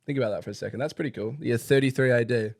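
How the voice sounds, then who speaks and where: Podcast dude joking around, laughing a lot, super dry close sound. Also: male voice, medium pitch, very fast, gravelly, darkish tone, no room sound. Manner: joking, laughs often, reads trivia loosely. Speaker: podcast co-host. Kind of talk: casual chat.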